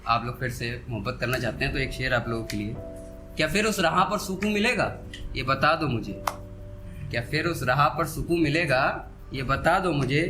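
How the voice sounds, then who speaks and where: Higher-pitched man reciting poetry over music. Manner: repeats couplets with deliberate pauses. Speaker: poet performing on stage. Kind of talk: live Hindi-Urdu poetry recital with spoken asides. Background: soft instrumental background music.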